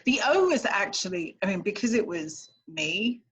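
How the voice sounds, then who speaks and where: A woman with a London English accent, speaking as an immigration lawyer guest in a casual interview, thoughtful and halting with many fillers. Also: mid-range voice, big pitch swings, husky. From England.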